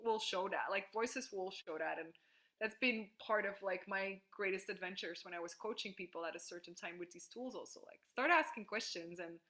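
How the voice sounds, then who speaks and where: Woman, facilitator, video call, bright tone, enthusiastic, casual. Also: mid-range voice. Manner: enthusiastic, frequent "like", laughs easily. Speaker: workshop facilitator. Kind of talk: casual group call coaching.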